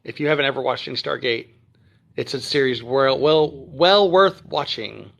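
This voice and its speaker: A man from Texas who speaks standard American, hosting a casual phone livestream from home and chatting through viewer comments with many ums and throat clears. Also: mid-range voice, moderate pace, fairly bright tone, highs cut off. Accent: none, trained standard American. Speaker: livestream host. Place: at home near Dallas.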